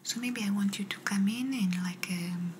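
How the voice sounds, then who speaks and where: A woman playing a gentle, reassuring doctor in an ASMR exam roleplay, speaking in a soft breathy whisper with slightly phrasing. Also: mid-range voice, normal speed, lightly acted. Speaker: ASMR performer. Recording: noisy close-up whisper recording.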